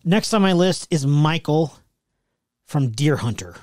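A man's voice: on the high side, lively intonation, unhurried, a little mellow, no echo, studio quality. Blunt, profane male podcast co-host. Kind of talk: casual chat.

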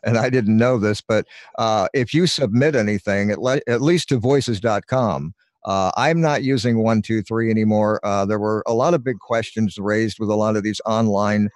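An older man talks through industry advice in a relaxed, rambling way, pausing on fillers. His voice is dry and close, with no room around it, and comes over a video call from a small home studio. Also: on the low side, no room sound. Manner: easygoing, explains tips at length with fillers. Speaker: guest voiceover artist. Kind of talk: casual interview talk. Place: home radio studio with tape shelves, indoors.

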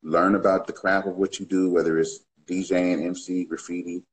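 Laid-back deep-voiced man on a video call. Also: a little fast. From US West Coast. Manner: laid-back, slangy, relaxed. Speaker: music producer guest. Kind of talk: casual livestream interview. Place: home studio, indoors. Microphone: webcam on a video call.

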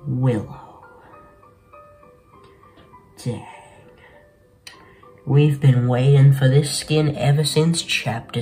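Slow-paced young male gamer over music. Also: mid-range voice, dark tone, low-quality recording. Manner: laid-back, slangy, addressing viewers. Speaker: gaming video host. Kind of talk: casual game commentary. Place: small bedroom, indoors.

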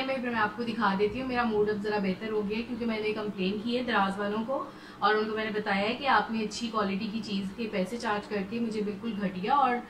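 A woman's voice: fairly high pitch, distant mic. A woman, chatting to her phone camera in a bedroom at home and mixing in English phrases. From Pakistan. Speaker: vlogger. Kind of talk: casual vlog to camera. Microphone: phone camera at close range. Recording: echoey room, faint background hum.

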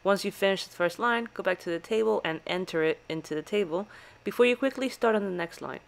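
A woman's voice: mid-range voice, quick pace, vocal fry. A woman reading scripted, step-by-step tutorial narration for a video game guide.

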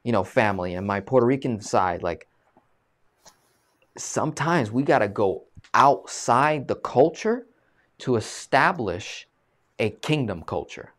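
A man in his thirties hosting a live video-call interview, animated and full of fillers, speaking from a small room. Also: medium pitch, a little slow. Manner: animated, gestures a lot, many fillers. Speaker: host. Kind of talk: casual live-stream interview. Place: small room, plain green wall. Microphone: video call webcam.

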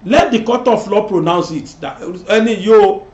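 A man's voice: high-pitched, normal speed. A middle-aged Nigerian man hosting a political commentary show, talking animatedly and gesturing as he sits in a studio. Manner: animated, switching between Yoruba and English, gesturing. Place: indoor studio set. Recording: roomy, dull lo-fi sound.

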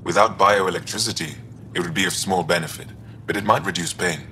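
A courteous alien physician for a sci-fi game. The voice is low and bright-edged, the delivery measured and formal. Faint ambience sits behind it. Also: male voice, creaky, a little hiss or hum, heavily acted. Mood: measured, curious. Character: calm, courteous male alien doctor. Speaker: doctor. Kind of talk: video game dialogue.

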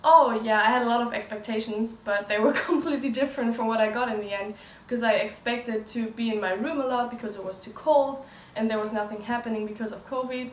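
18 yo german woman, lively and amused, far off in an echoey room. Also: on the high side, fast talker. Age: teens. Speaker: exchange student. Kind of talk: casual interview answers.

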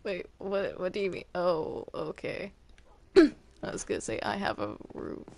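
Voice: medium pitch, sing-song, a little slow, creaky, darkish tone. A person playing a defensive, reluctant cartoon demon in an improvised Minecraft roleplay, indignant and sheepish by turns.

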